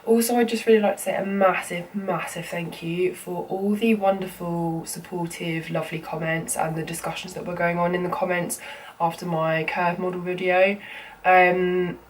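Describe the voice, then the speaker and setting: A young woman talks through a home makeup tutorial in a slightly low voice, stuffy and bunged up from a cold. She rambles casually with lots of ums and you-knows. The mic sits a little away from her, with a faint hiss of room noise underneath. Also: flat delivery, moderate pace, distant mic, poor audio. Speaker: makeup vlogger.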